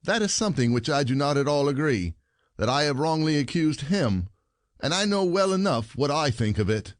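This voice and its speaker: A man's voice: a little high, expressive, normal speed, no room sound, clean studio sound, natural voice. A man playing an aggrieved, stubborn common husband, indignant and grudging, in a classic comedy audio play.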